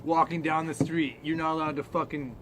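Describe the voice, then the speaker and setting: A man's voice: on the high side, little pitch movement, fairly quick, very noisy. A man trading crude, profane jokes and mock news as a comedy co-host. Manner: profane, irreverent.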